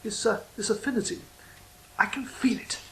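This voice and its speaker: A man's voice: sits high, moderate pace, creaky, pushed and bright, roomy sound, heavily acted, rough recording. A man playing an earnest, dreamy young royal poet who speaks in a hesitant, heartfelt way.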